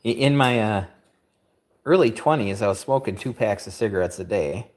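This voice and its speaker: A man's voice: on the low side, moderate pace. A man in his fifties hosting a woodworking livestream, chatting casually with a joking, self-deprecating, rambling manner. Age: 50s.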